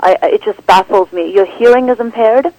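A woman film director answering interview questions in an animated, rambling way, full of restarts and "like". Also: mid-range voice, a little fast, no echo, rough recording. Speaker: documentary director, guest.

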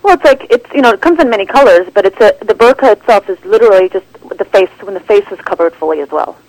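A woman film director answering interview questions in an animated, rambling way, full of restarts and "like". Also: mid-range voice, fast, no room sound, low-quality recording. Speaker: documentary director, guest.

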